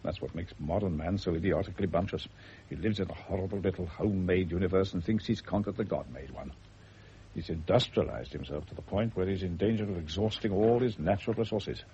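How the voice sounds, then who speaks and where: An actor playing an aging, worldly-wise English doctor in a radio drama, British-accented, dry, measured and wry. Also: male voice, sits low, darkish tone. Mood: wry, musing. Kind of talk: mid-century radio drama acting. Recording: dull old radio recording, faint noise.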